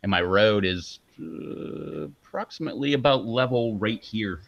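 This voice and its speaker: A man's voice: lowish voice, lively intonation, measured pace, gravelly, no echo, lo-fi sound. A man co-hosting a casual live stream who talks in a chatty way, laughs often and promotes the channel.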